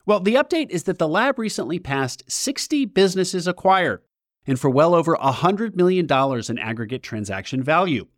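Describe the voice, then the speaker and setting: A man hosts a podcast with a fairly high, animated voice that moves up and down a lot as he reads his intro and ad copy. The sound is dry and close, with no room around it. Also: moderate pace, no echo. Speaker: podcast host. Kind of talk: scripted podcast intro and interview.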